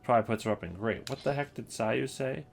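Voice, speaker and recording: A man's voice: mid-range voice, a little fast, gravelly, noisy background. A man giving casual, unscripted commentary as a host, rambling and thinking aloud as he goes. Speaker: host ranking video game voice lines.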